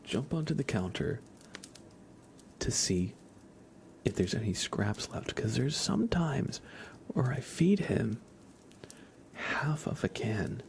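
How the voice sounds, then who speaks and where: A man chatting softly as an ASMR livestream host, in a gentle hushed voice that often drops into whispers. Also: mid-range voice, very animated, takes it slowly, creaky, pauses often. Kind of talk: soft-spoken ASMR livestream chat.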